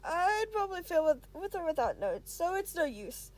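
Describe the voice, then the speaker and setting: Flustered male student, female performer, very high voice, anxious rambler, amateur audio-story feel. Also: sing-song, moderate pace, rough recording. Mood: embarrassed. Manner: frantic, rambling.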